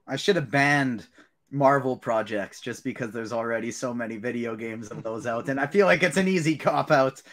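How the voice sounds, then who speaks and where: A man's voice: medium pitch, moderate pace, fairly bright tone. A man hosting and moderating a podcast draft in casual, bantering chat.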